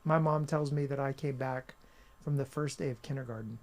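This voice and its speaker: A man talking about his work as an author and editor in a casual interview, animated, with many restarts. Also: mid-range voice, a little fast. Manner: animated storyteller, many restarts and "you know".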